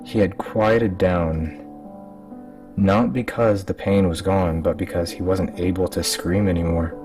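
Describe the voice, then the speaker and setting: Deep-voiced man narrating horror. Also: fairly even intonation, measured pace. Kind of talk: scripted dramatic narration of a horror story. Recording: distant mic with music underneath. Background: music.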